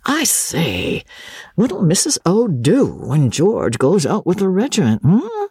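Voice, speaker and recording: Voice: mid-range voice, wildly swooping pitch, takes it slowly, mellow, slightly rough, no room sound, studio quality, voice pushed up, heavily acted. A narrator voicing a bluff male army officer who dotes on his wife, in a period storybook. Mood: curious. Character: Rawdon, bluff, doting officer husband.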